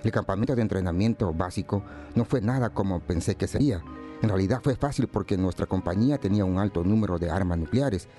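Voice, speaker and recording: An actor plays a reflective male storyteller with a fairly low voice. He recounts his hot-tempered past in measured radio drama narration, over faint music. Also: dark tone, close to an everyday voice.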